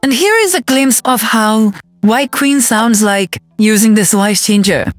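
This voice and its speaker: A man's voice: high voice, unhurried, no echo, soft background music, pitched above the performer's own voice. A man voicing an adult woman through an AI voice changer, narrating a product demonstration. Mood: upbeat demonstrating. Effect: AI voice changer to a female voice.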